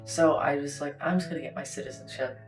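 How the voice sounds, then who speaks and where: A woman's voice: low-pitched, steady tone, fast, poor audio. A woman from Canada in a casual conversation, telling a personal story in a candid way with conversational asides. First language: English. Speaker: host. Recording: faint guitar music behind.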